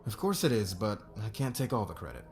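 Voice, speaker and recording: A man acting as a demanding, controlling boss in an audio roleplay, speaking with measured, commanding formality. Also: lowish voice, sing-song, a little mellow. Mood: polished, confident.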